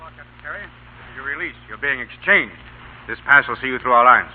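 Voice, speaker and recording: An actor playing a brisk, formal army major in a 1940s radio drama. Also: male voice, medium pitch, expressive, close to an everyday voice. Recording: tinny, noisy old radio recording.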